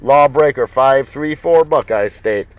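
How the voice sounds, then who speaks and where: A man's voice: medium pitch, measured pace, no room sound. A man working a CB radio as operator, repeating call signs in slangy, folksy, joking banter. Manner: repetitive, jocular, folksy banter. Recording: muffled lo-fi sound with loud radio noise, clicks. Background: CB radio noise and clicks.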